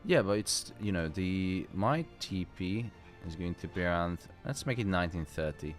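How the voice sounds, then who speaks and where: Deep-voiced man hosting a live trading stream. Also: lively intonation, normal speed, soft background music. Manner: loose and chatty, swears freely; explains at length, teacher-like. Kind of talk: casual live market commentary.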